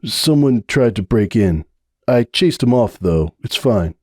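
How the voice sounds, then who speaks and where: A man's voice: fairly low pitch, lively intonation, unhurried, a little mellow, husky, dry recording, studio quality. A man voicing a big, hotheaded pegasus stallion in a fan-story reading, harsh and defensive in his speech. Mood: sheepish.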